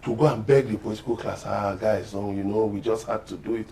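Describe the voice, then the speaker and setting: A man's voice: on the low side, steady tone, fast talker, vocal fry, mellow, slight background noise. A man with a Nigerian accent speaking as a panel guest in a political discussion, emphatic and urging in manner. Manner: emphatic, exhortative.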